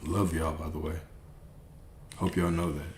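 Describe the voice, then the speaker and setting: Deep-voiced man preaching certainty. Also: little pitch movement, a little slow, a little mellow, roomy sound, slight background noise. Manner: emphatic, repeats key phrases, asks "right?". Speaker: host of a live stream. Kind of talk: motivational spiritual talk.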